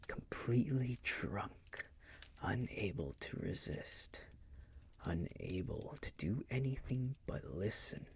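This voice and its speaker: A man's voice: lowish voice, darkish tone, heavily acted. Man as a whispering, possessive vampire master. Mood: seductive, teasing. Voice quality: breathy, gravelly whisper. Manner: slow, crooning, teasing, possessive. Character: possessive male vampire noble master, seductive, doting. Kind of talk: intimate whispered ASMR roleplay.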